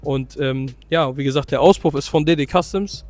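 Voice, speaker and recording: A young man with a slightly hoarse voice from a cold, chatting loosely through a walkaround of his car and correcting himself as he goes. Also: a little high, normal speed, a little mellow, soft background music. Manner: loose, chatty, full of fillers and self-corrections. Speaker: car vlogger showing his own car. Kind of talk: casual car walkaround vlog.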